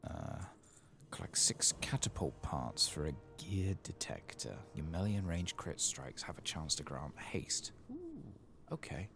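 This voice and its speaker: A soft-spoken man who often drops into a whisper, muttering casually to himself as he commentates on a video game in an ASMR style. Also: sits low, huge pitch swings, a little slow, creaky, faint music behind. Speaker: host playing a video game.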